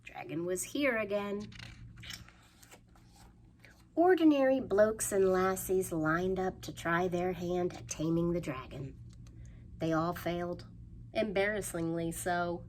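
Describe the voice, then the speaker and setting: A woman presenting a children's storytime, reading aloud in a sing-song, questioning way with playful pauses and hushed asides. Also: lowish voice. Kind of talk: animated read-aloud for young children. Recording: faint background noise.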